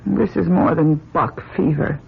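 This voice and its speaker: An actress playing an anxious, devoted woman astronaut in a radio drama, earnest and pleading, with a husky voice. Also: low-pitched, a little slow, heavily acted. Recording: muffled radio drama recording.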